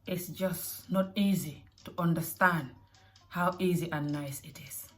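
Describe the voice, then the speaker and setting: A woman's voice: fairly low pitch, fairly even intonation, unhurried, faint music behind. A mother with a mixed African and Scottish accent, speaking in an earnest motivational talk to camera and often repeating phrases. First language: not English. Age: adult mother. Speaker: vlogger.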